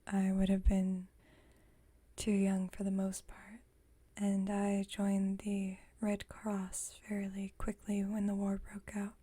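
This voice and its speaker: A woman playing a gentle, wry, nostalgic vampire roommate in an ASMR roleplay, in a soft, breathy whisper and an unhurried manner. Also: mid-range voice, monotone.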